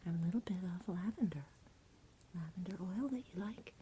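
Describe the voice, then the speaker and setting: Female ASMR bedtime voice: mellow, hushed whisper, tender and unhurried, slight noise behind. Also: lowish voice. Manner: soothing, gentle, unhurried, as if to a child. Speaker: ASMR performer playing a caregiver at bedtime. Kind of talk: soft-spoken whispered ASMR bedtime roleplay.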